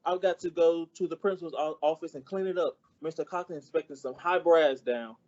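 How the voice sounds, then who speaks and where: A man's voice: fairly high pitch, brisk, darkish tone, dull audio, lightly acted. A man playing a naive, good-natured teenage schoolboy, earnest and polite, in an old-time radio comedy. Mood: cheerful, earnest.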